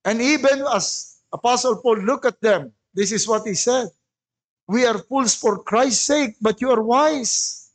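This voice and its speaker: A Filipino-accented man delivers a sermon in a strikingly high-pitched voice. He speaks as a pastor, phrasing deliberately and emphatically, and puts rhetorical questions to his listeners. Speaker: pastor preaching. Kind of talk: sermon mixing English and Tagalog.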